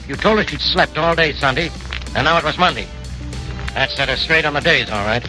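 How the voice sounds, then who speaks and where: Tough police investigator, gloating, sardonic, fairly high voice, 1940s radio drama, music behind. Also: male voice, sharp tone, full character voice, rough recording. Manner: accusing, sardonic. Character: hard-nosed, taunting police investigator, gloating.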